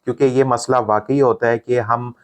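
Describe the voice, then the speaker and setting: A man's voice: mid-range voice, normal speed. Pakistani man explaining his call center business. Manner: relaxed explaining, mixes in English phrases. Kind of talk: interview answers.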